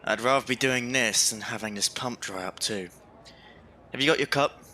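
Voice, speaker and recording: Bright-voiced, teasing Victorian working man played by a man. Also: mid-range voice, moderate pace, slight background noise, natural voice. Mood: wry, teasing. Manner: teasing, down-to-earth. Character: wry, kindly Victorian working man at water pump. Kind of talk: audio drama acting.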